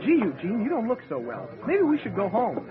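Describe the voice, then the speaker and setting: An actor playing a short, cranky, cowardly small-time crook, wisecracking and sarcastic in a radio comedy thriller. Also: male voice, sits high, huge pitch swings, fairly quick. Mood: feigned bluster. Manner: sarcastic, grumbling. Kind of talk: old-time radio horror-comedy acting. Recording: thin, narrow radio sound with music.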